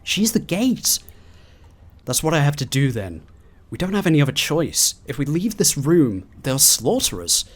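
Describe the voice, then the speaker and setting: A man doing video game commentary and reading in-game documents aloud in a theatrical, expressive way. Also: on the high side, measured pace. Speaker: gaming video host.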